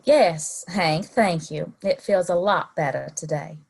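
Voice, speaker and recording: A soft-spoken American townswoman in a Western audio play, acted by a woman. Her voice is slightly low and husky. She speaks slowly, with a grateful air. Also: heavily acted.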